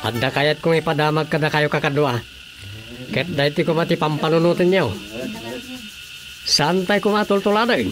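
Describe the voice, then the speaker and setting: A man's voice: fairly high pitch, moderate pace, pauses often, music in the background, close to an everyday voice. An actor playing an earnest, indignant man with a Filipino accent in a radio drama comedy. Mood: grave, earnest.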